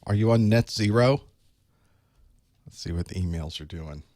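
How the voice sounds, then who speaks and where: A man with a cold speaks in a hoarse, rather low voice. He chats wryly and half to himself as he gets a live stream ready. The sound is dry and close, with no room echo. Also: normal speed, warm tone. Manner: chatty, wry, talks to himself while setting up. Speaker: online course instructor. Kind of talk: casual pre-show chat to a live stream. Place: small studio desk.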